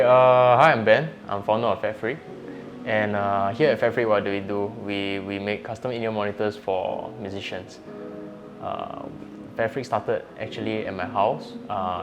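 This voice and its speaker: A man with a fairly low voice explains his work informally. He speaks haltingly, repeating words as he goes, over faint music. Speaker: company founder, audio engineer. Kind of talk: informal explanatory talk to camera. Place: office showroom.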